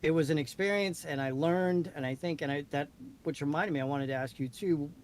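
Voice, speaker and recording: A man's voice: fairly high pitch, a little fast, a little hiss or hum. A man from Maine hosting a casual interview podcast, telling anecdotes and asking questions in an informal, loose way. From Maine, United States. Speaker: podcast host.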